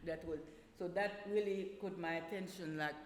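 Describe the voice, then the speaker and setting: A woman telling casual anecdotes in an animated way, laughing often and acting out what people said. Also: lowish voice, moderate pace. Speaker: guest, teacher of small children. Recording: roomy echoing space with faint noise.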